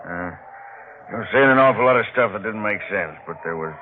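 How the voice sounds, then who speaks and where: Casting: male actor for sober salvage boss, deep hesitant voice, tinny vintage radio. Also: lively intonation, a little fast, slight background noise, natural voice. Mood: sober, puzzled. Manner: hesitant, pondering. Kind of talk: old-time radio drama acting.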